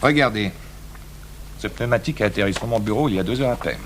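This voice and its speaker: An actor playing a falsely genial, caustic newspaper editor in a 1980s French radio drama. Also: male voice, on the low side, fast, lightly acted. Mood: mock-friendly. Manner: mock-friendly, sardonic. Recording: noisy old radio broadcast recording.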